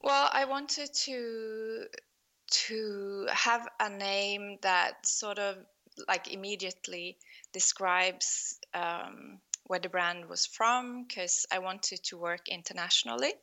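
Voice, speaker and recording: A middle-aged Norwegian woman with a Norwegian accent speaks slowly and carefully in an online interview. Her voice has a bright, forward edge, and she stops often with soft fillers and small laughs as she finds her words. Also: mid-range voice, steady tone. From Norway. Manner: thoughtful, pauses often with fillers, light laughs. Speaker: guest, fashion designer. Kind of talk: remote interview conversation. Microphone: online call.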